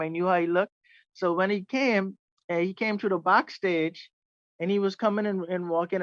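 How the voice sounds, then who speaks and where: Bahamian trumpeter guest, chatty and rambling, dry dull lo-fi recording. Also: male voice, high voice, fairly bright tone, no echo. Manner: rambling, many "you know" fillers. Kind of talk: casual storytelling interview.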